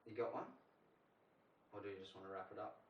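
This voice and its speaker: A man's voice: lowish voice, steady tone, a little fast, distant mic, muffled recording. A man co-hosting a podcast in casual chat, rambling and filling his speech with "like". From Australia. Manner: rambling, heavy use of "like". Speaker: podcast co-host. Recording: roomy sound from off mic, faint hiss.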